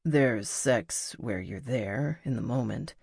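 Female narrator as young male rock star, low pitch, cocky, teasing, unhurried, intimate, audiobook dialogue. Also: gravelly, a little mellow, slightly rough, no echo, clean studio sound, exaggerated voice.

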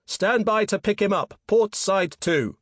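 A man voicing a commanding naval officer in an audiobook, shouting clipped, urgent orders. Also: high-pitched, lively intonation, unhurried, no room sound, clean studio sound, pitched above the performer's own voice, exaggerated voice.

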